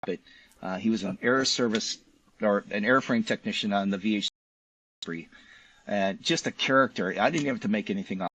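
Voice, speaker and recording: A man's voice: fairly low pitch, moderate pace, a bit bright, slightly rough, no echo, poor audio. A man speaking conversationally as an author and interview guest. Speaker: novelist guest.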